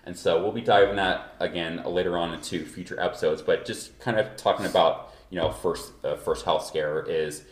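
Deep-voiced rambling podcast host man. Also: steady tone, moderate pace, few pauses. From Kansas, USA. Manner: loose and rambling, trails off. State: feeling unwell. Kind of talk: casual podcast chat. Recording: echoey room recording.

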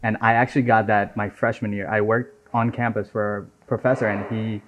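A man in his twenties from Nepal, chatting casually as an interview guest. He talks in a relaxed, easy way, often dropping "right?" and "man" into his sentences. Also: lowish voice, a little fast, a little hiss or hum. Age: 20s. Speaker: software engineer guest. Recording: dull lo-fi audio.

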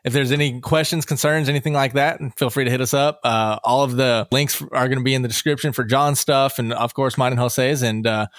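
A man's voice: medium pitch, fairly even intonation, a little fast, few pauses, a bit bright, dry recording, clean studio sound. A young man hosting a podcast, laid-back, laughing often and swearing casually. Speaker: podcast host. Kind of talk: casual chat.

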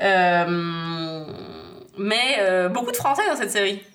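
A woman's voice: lowish voice, lively intonation, takes it slowly, a bit bright. A young woman in casual chat as a podcast co-host, speaking slangy speech peppered with English phrases. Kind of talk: casual chat about a TV series.

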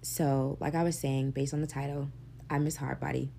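Solo podcast host, young woman, very low gravelly voice, mellow tone, faint hum under it. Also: little pitch movement, normal speed. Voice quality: deep voice, gravelly. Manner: candid, chatty, swears freely. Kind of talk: casual solo podcast monologue.

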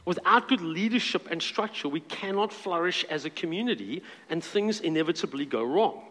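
A man's voice: fairly high pitch, animated, normal speed, bright, forceful tone, in a large hall. A man preaching a sermon as pastor, reading scripture aloud and adding earnest asides.

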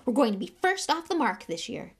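A woman voicing a hearty, enthusiastic teenage team captain who urges others on briskly. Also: a little high, animated.

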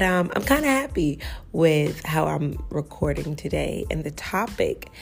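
Low raspy woman in her 30s, morning voice, chatty podcast rambling w lots of you know and like. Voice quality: a little raspy. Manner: chatty and self-reflective, full of "you know" and "like". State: early-morning voice. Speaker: podcast host. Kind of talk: casual solo podcast talk.

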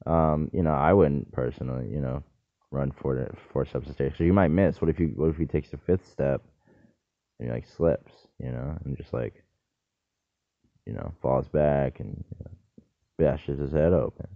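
A man with a very low voice talks in a loose, wandering monologue, full of jokey asides and restarts. His voice is gravelly with fry. The sound is dry and thin, like a phone line. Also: moderate pace, no echo. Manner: meandering, self-interrupting, jokey asides. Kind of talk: rambling solo monologue.

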